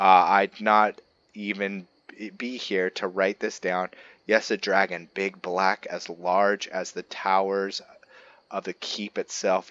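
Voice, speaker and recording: A man doing roleplay game commentary and reading aloud as a channel host, halting and often stumbling over his words and restarting. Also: low-pitched, a bit bright, no echo, muffled recording.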